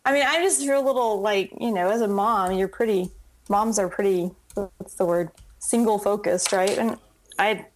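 A woman's voice: mid-range voice, moderate pace, rough recording. A middle-aged woman, a web entrepreneur guest, chatting casually in an interview and acting out her own past thoughts as she talks. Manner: chatty, animated storytelling with acted quotes.

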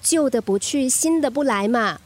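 A woman's voice: high voice, animated, normal speed. A woman playing a caring, cheeky young granddaughter in a radio drama, sweet-talking and teasing. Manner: teasing, coaxing. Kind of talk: Chinese radio drama acting.

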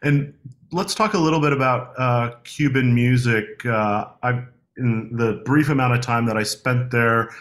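A man hosting a podcast interview, asking questions in a relaxed, hesitant way with many fillers and restarts. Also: medium pitch, unhurried. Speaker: podcast host. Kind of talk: conversational interview.

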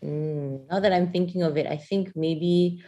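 Woman, mellow low voice, halting delivery, casual play review. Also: steady tone, muffled recording. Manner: hesitant, many fillers and restarts. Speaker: theatre reviewer.

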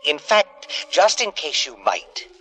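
A man's voice: a little high, very animated, slow, sharp tone, exaggerated voice, poor audio. A man playing a gloating, mockingly courteous devil who narrates a 1950s radio drama in a taunting, theatrical manner. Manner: mock-sympathetic, taunting, theatrical. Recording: vintage radio recording with music.

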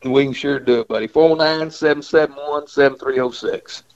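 A man, a fishing guide calling into a radio show, who talks in a rambling, drawling way and keeps clearing his throat. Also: mid-range voice, fairly even intonation, fairly quick. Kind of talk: casual phone chat. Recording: dull phone-line sound.

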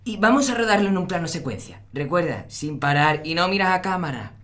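Teen boy director, spain spanish, exasperated, pitch all over the place, natural radio drama. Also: lively intonation, fairly quick, fairly bright tone. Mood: bossy, impatient. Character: teenage son, exasperated amateur filmmaker. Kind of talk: naturalistic audio drama acting.

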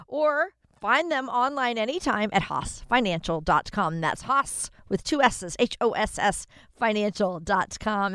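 A woman's voice: fairly high pitch, a bit bright, smooth, dry recording. A woman in her sixties co-hosting a radio show, speaking in a cheerful, upbeat way as she introduces the advisor and asks questions. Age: 60s. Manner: cheerful, reads out phone numbers slowly. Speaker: radio co-host.

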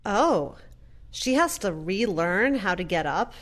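A caring adult daughter played by an actress in an audio drama. She talks slowly and earnestly, with hesitance and a lively rise and fall. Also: mid-range voice. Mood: hesitant. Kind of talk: audio play acting.

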